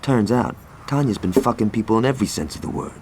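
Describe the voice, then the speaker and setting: An actor playing a young, foul-mouthed American street gang lieutenant in a game cutscene, swaggering and wry. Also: male voice, lowish voice, animated, fast, vocal fry, darkish tone, slight background noise. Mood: gossipy, amused. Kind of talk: video game cutscene acting.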